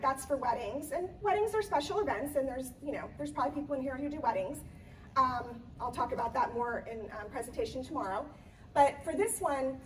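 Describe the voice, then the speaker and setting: Slightly hoarse woman presenting at a conference. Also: sits high, far from the mic, slight background noise, poor audio. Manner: chatty, many ums and restarts. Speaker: event planner giving a conference talk. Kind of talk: live presentation to an audience. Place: conference room.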